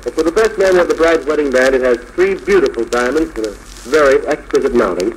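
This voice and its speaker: A man's voice: mid-range voice, fast, a little hiss or hum, rough recording. An American man hosting a live 1940s radio show, interviewing guests and announcing on an old broadcast recording.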